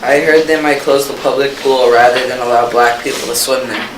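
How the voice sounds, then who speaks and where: A man's voice: medium pitch, steady tone, a little fast, close to an everyday voice. A man reading an earnest, slightly nervous college boy in an amateur play. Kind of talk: amateur reader's theater acting. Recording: echoey, distant mic, clear noise.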